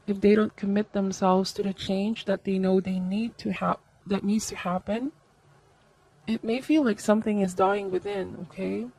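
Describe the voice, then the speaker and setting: A woman with a medium-pitched voice reads tarot for her viewers. She talks conversationally and reflectively, offering guidance as she goes and checking in with small tags seeking agreement. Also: moderate pace, vocal fry, darkish tone, poor audio. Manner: reflective, advisory, seeks agreement with tags like okay and right. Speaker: tarot reader, host.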